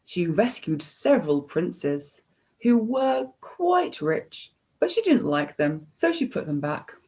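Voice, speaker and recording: A woman's voice: on the low side, warm tone, breathy edge. A woman storyteller reading a children's story expressively, in a slightly dull, lo-fi recording.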